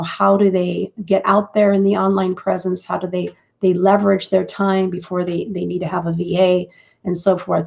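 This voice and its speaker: A middle-aged woman rambles casually through a live broadcast. Her voice is low, mellow and gravelly, croaky from the morning. The stream audio is dull and lo-fi. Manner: chatty and rambling, with fillers and restarts. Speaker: host of a live business broadcast.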